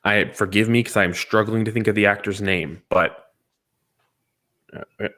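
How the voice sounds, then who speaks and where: A man's voice: lowish voice, fairly even intonation, moderate pace, sharp tone. A man co-hosting a casual, opinionated talk show, speaking emphatically and repeating phrases for stress. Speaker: podcast co-host reviewing movies and games.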